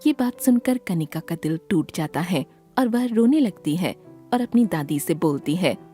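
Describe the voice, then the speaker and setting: A woman's voice: lowish voice, animated, unhurried, warm tone, soft background music. A woman narrating a scripted children's story as the storyteller.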